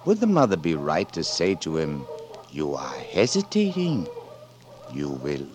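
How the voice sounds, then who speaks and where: A male actor in a 1940s radio drama playing an earnest, weary, reflective scientist with a deliberate manner. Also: rumbling low, wildly swooping pitch, darkish tone, full character voice. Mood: measured, persuasive. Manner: deliberate, ruminative.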